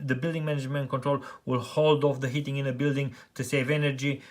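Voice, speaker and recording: A man with a Romanian accent, a heating engineer explaining burner controls step by step, halting often with fillers and restarts. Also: medium pitch. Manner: halting, many fillers and restarts, plain and practical. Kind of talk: technical how-to explanation.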